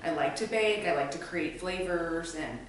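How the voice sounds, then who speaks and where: Distant in a very echoey room, faint noise, American woman, lowish voice, casual interview guest. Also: moderate pace. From Utah, United States. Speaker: interview guest, baker and business co-owner. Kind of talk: casual chat.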